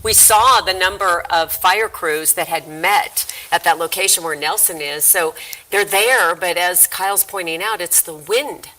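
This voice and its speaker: A woman's voice: on the low side, measured pace, pushed and bright, low-quality recording. A woman anchoring live news, ad-libbing in an earnest, emphatic way.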